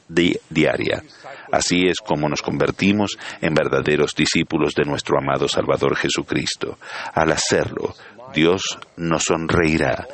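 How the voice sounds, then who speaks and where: Brief: male preacher, very deep voice, solemn and measured. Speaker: church leader giving a sermon. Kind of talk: sermon.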